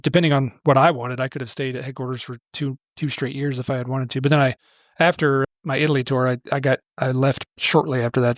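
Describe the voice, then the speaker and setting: A middle-aged man, a podcast guest, talking casually in a relaxed, rambling way with many restarts. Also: medium pitch, dry recording, dull audio. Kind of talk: casual interview talk.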